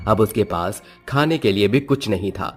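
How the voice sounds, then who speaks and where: A man's voice: lowish voice, animated, normal speed. A man narrating a scripted moral story as a storyteller. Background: background music.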